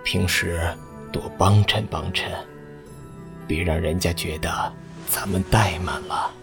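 Man as a slow, deep aging patriarch. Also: steady tone, creaky, music in the background, exaggerated voice. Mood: weary, benevolent. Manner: sighing, grave, measured. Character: aging father, forgiving, grave family patriarch. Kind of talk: Chinese costume audio drama acting.

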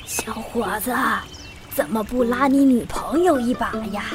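A woman's voice: fairly high pitch, measured pace, close to an everyday voice. A woman acting a teasing, kindly old hiker in a Chinese radio drama, with a folksy, drawling delivery. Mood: amused, teasing. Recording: music behind the voice.

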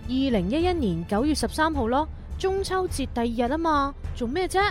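A woman's voice: high-pitched, animated, fairly quick, faint music behind. A woman playing a devoted young woman in love in a radio drama. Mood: sweet, puzzled. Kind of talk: radio drama romance dialogue.

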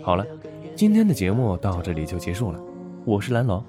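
A man's voice: on the low side, wildly swooping pitch, brisk. A man hosting a podcast, giving a chatty, playful scripted outro straight to the listeners. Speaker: podcast host. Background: loud guitar music.

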